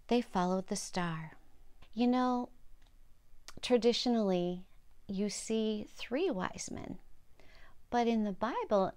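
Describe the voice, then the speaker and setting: Slow-speaking older woman telling Bible stories. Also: medium pitch, creaky, darkish tone. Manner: simple short sentences, explaining to young listeners. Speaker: storyteller and host of a children's story program. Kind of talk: Bible storytelling for children.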